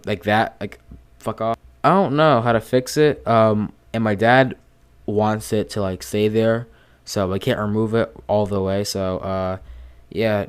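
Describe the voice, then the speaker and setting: A man with a lisp and a stutter, talking slowly and calmly through a casual, swear-filled commentary recorded at home. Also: fairly low pitch, smooth. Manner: deliberately slow and calm delivery. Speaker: YouTube commentator.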